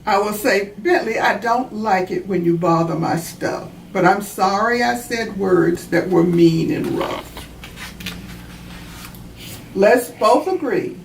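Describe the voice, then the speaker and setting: An earnest young child from a storybook, as a woman reader acts it out, talking slowly and pleadingly. The voice has a creaky edge and comes from a little way off the mic. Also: medium pitch. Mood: earnest resolve. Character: earnest young child learning to speak kindly. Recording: roomy, distant mic, faint noise.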